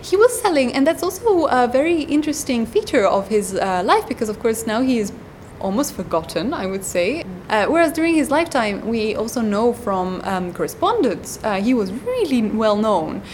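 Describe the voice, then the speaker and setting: A woman guest on an academic interview, explaining at length and pausing often with ums and uhs. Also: on the high side, big pitch swings, a little mellow, slight background noise. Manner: hesitant, many ums and uhs. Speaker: guest scholar.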